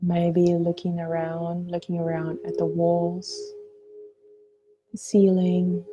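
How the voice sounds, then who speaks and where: A woman guiding a meditation in a gentle, soothing, unhurried voice, leaving long pauses between phrases. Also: lowish voice, monotone, muffled recording, faint music behind. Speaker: meditation guide. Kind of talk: guided meditation narration.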